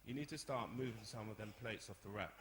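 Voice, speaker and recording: A man's voice: fairly low pitch, fast, a little hiss or hum, lightly acted. A man playing an exasperated, outspoken young older brother in a radio drama, chiding and emphatic. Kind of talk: radio soap acting before a live audience. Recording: echoey hall, long reverb.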